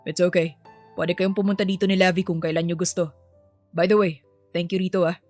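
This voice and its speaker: A woman's voice: lowish voice, level tone, a little fast, a little mellow, soft background music, natural voice. A woman voicing a grumpy, guarded rich man, a boss and father, curt and defensive, in an audio story. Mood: surprised, polite. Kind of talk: acted audio story dialogue.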